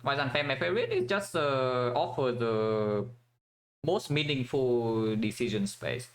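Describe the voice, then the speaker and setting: A man's voice: mid-range voice, big pitch swings, a little slow. A man from Vietnam giving casual commentary while playing a strategy game, thinking aloud with many fillers and restarts.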